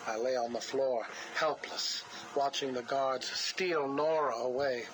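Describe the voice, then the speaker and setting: A man playing a grieving, cold scientist villain in a video game audio log, speaking gravely and deliberately with bitter devotion. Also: mid-range voice, steady tone, natural voice. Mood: grim, pained. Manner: slow, grave, deliberate recounting. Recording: noisy interview audio log.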